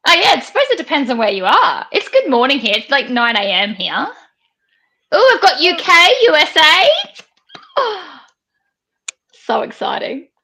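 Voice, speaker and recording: Loud, lively woman. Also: high-pitched, normal speed, long pauses. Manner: loud, excitable, chatty. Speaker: sewing pattern designer hosting a live sew-along. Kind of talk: casual live-stream chat. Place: home sewing room. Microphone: headphones with mic on a video call.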